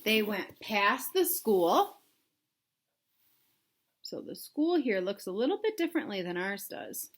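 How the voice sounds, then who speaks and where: A woman reading a picture book aloud to young children, pausing to explain things simply and ask them questions. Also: mid-range voice, sing-song. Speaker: read-aloud storyteller for children.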